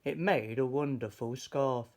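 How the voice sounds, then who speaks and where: A man reading a children's picture book aloud in a deliberate, expressive way. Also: mid-range voice. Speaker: storybook reader.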